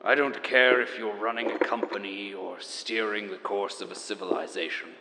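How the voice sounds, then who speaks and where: An actor playing a grandiose, theatrical chronicler enduring after the apocalypse, pompous and melodramatic in an audio drama monologue. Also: male voice, on the low side, fairly even intonation, moderate pace, sharp tone, big-room sound, slight background noise, rough recording. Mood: brooding, grave. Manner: pompous, melodramatic, savoring each word.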